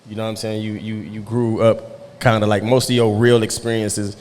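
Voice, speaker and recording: A man with a low voice hosts a relaxed podcast interview. He talks in easy slang and keeps checking in with his guest. His voice rings out into a reverberant room. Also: moderate pace. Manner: laid-back, slangy, keeps asking "you know what I'm saying". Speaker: podcast host. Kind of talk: casual interview chat.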